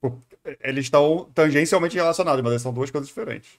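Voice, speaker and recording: A man's voice: a little high, rapid-fire. A man with a Brazilian accent chatting casually as a streamer reacting to a video, slangy and joking. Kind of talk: casual reaction chat, talking to viewers.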